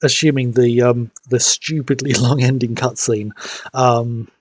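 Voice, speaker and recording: A man streaming a game, his voice a little husky and stuffed up from hay fever. He rambles through recaps with plenty of filler, recorded close and dry with no room sound. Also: mid-range voice, steady tone, dry recording. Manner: rambling, fills pauses with "um". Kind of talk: casual live-stream commentary.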